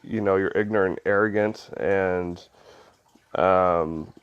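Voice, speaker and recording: Man, deep and congested, nasal from a head cold; easygoing devotional talk full of fillers, dull lo-fi sound. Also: fairly even intonation, takes it slowly, smooth. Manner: loose and conversational, many ums and uhs. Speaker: devotional video host. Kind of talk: casual spoken devotional.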